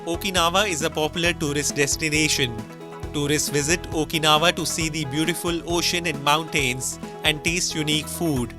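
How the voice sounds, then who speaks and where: A man narrating world news as a scripted voice-over. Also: on the high side. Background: music.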